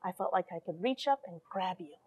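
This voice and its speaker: A woman in her forties reading and explaining a story to children in an animated, questioning way from a small airlock. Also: lowish voice, brisk, husky. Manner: animated, expressive, addresses young listeners with questions. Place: small cramped metal space station airlock.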